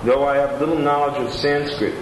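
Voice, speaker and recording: A man's voice: medium pitch, fairly even intonation, a little fast. A man reading reviews aloud to an elder in casual conversation, adding short asides between passages. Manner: reads steadily, adds brief asides. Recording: echoey room with loud noise.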